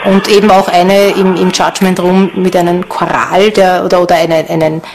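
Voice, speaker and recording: A woman's voice: on the low side, little pitch movement, normal speed, rough recording. A woman with an Austrian accent explaining things spontaneously in a documentary interview. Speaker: ghost hunter.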